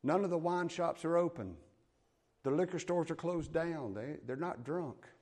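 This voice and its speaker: A man's voice: fairly high pitch, normal speed, darkish tone. A man with a Southern US accent preaching a sermon, reading scripture aloud and explaining it in a folksy, plain-spoken way. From American South.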